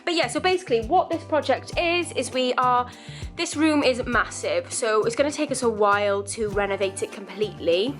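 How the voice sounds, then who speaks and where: Chatty woman, croaky from dust. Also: fairly high pitch, normal speed, soft background music. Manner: chatty, rambling, laughs often. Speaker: DIY renovation vlogger. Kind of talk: casual chatty vlog to camera. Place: living room under renovation.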